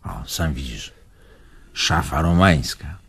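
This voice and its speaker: An actor playing a spirited, fond old antique collector in a radio drama, reminiscing in a theatrical, effusive way. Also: male voice, a bass voice, lively intonation, slow pace, husky, exaggerated voice. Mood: proud, effusive. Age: older.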